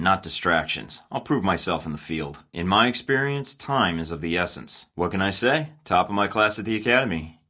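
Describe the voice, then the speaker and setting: A cocky young spy recruit, talks in a deep, low register with a forceful, bright edge. He is sarcastic, blunt and impatient, as in a studio-recorded video game. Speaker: voice actor.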